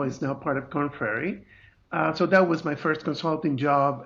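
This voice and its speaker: Middle-aged Venezuelan man, higher-pitched voice. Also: fairly bright tone, muffled recording. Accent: Venezuelan Spanish. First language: Spanish. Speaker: podcast guest, compensation and benefits consultant. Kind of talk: casual interview chat.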